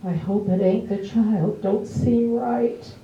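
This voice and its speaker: A woman's voice: medium pitch, fairly even intonation, slow, dark tone, close to an everyday voice. A woman in an amateur stage play acting a nosy, scolding small-town neighbor with a folksy, chiding manner. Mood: chiding, uneasy. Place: library room, staged performance. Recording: dull, echoey distant room, faint noise.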